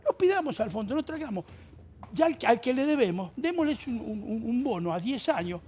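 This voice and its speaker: Halting man, lively pitch. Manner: halting, repeats words, pleading. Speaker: panelist. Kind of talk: television debate.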